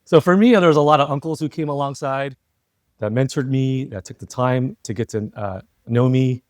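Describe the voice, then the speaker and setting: A middle-aged American man talks as a guest in a relaxed interview, recorded dry and close. His pitch is ordinary for a man. He is reflective and talkative, often turning to his listener for agreement. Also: normal speed, no echo. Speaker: interview guest, entrepreneur and former CEO. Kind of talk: casual conversational interview.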